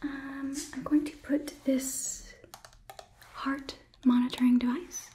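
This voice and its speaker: A woman in her twenties whispering softly and breathily in an ASMR roleplay as a polite, condescending robot overlord, with formal, measured phrasing. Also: high-pitched, flat delivery, a little hiss or hum. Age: 20s. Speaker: ASMR performer. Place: small indoor studio set. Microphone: close microphone, off camera.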